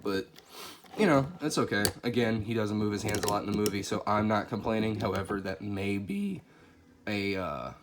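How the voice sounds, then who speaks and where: A stuffy-nosed man with a lowish voice talks through a toy figure review, relaxed and chatty, thinking aloud as he handles it. His voice cracks a little from a cold. A clear, steady background noise runs under him. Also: moderate pace. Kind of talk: casual product review.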